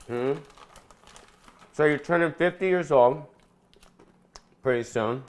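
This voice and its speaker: A man's voice: fairly high pitch, slow. Brief: fifties male host, playful teasing banter, home kitchen, camera a few feet off. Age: 50s. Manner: playful, teasing, laughs often. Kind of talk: casual chat.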